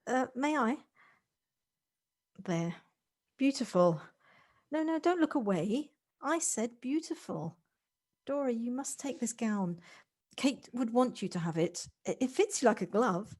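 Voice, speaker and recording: Casting: actress as exuberant, affectionate female friend for audio play; higher-pitched, eager, coaxing, repeats herself. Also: expressive, measured pace, long pauses, darkish tone. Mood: warm, admiring. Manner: effusive, eager, stammering with repeated words. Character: exuberant, coaxing, affectionate woman, proud of her job. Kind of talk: amateur audio drama acting. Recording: home recording on a personal device.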